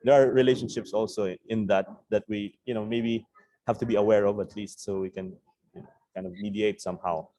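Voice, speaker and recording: A man's voice: lowish voice, flat delivery, a little fast, mellow, no echo, low-quality recording. A man speaking as a panelist, playful in tone. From Philippines. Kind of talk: panel discussion.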